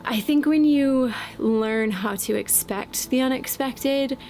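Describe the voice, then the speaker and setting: A woman in her late twenties, talking slowly and thoughtfully in a casual vlog with frequent ums, outdoors in the wind. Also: sits high, a little mellow. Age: late 20s. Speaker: vlogger. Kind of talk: reflective casual vlog talk. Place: outdoors, windy.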